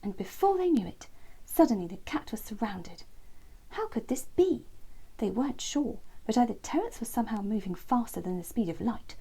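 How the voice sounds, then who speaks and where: Casting: female bedtime storyteller, mellow and breathy, dramatic deliberate phrasing for children's narration. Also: a little high, rough recording.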